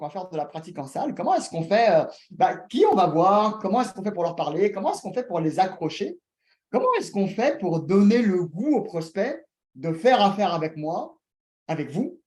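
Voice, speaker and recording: Guy hosting a webinar on a video call, kinda high warm voice, asks the crowd questions a lot. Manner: lively, addresses audience directly with questions. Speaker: host of a real estate webinar. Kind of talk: presenting to an online audience.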